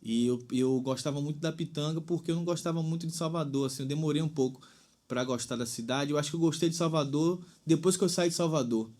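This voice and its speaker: A man's voice: on the high side, fast talker, a little mellow. A man in his thirties from Bahia, Brazil, a singer-songwriter guest talking reflectively and at length, tagging phrases with "né?". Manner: thoughtful, rambling with frequent "né?". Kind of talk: reflective interview talk.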